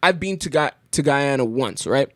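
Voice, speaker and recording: A man's voice: medium pitch, lively intonation, no echo. A young man from Canada speaks as a podcast guest, thinking aloud and circling back on his words before landing on a point. His voice sits in a middle register. The recording is close and dry, with no room around it. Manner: thoughtful, halting, many restarts. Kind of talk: casual conversation.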